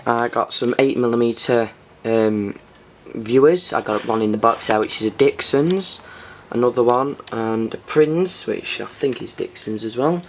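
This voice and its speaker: A British man with a medium-pitched voice presents informally, like a hobbyist showing off his gear. He speaks haltingly, thinking aloud as he goes. The home camera recording has clear background noise and clicks. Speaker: hobbyist presenter showing restored vintage tape machines. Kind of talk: informal hands-on show-and-tell.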